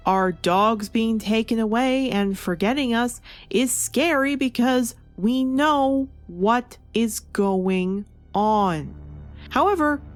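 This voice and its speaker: A woman with a fairly high voice presents a scripted review over background music. She argues her points in an explanatory, opinionated way. Key words get clear emphasis. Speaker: comic book review host. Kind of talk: scripted video essay commentary.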